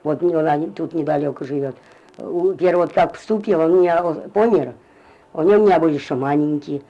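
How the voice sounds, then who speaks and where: Old village woman with a dark, lo-fi voice. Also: sits low, quick pace. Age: older. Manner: meandering, repetitive, drops to a mumble at phrase ends. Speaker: interviewee, retired farm worker. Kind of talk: rambling spoken memories. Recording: lo-fi field recording.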